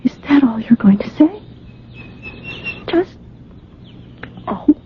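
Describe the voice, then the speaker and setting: A woman's voice: sits high, big pitch swings, slow pace, slight background noise, heavily acted. An actress playing a lovesick, dreamy young woman in a radio drama, breathless and pleading. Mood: pleading, hurt. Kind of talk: radio drama acting with narration. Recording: tinny old radio recording with music.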